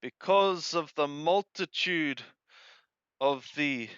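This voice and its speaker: A man reading scripture aloud and translating it phrase by phrase, halting and deliberate, correcting his wording as he goes. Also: a little high, a bit bright, clear, resonant tone, no room sound. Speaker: amateur Bible reader and translator. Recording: dull lo-fi close recording.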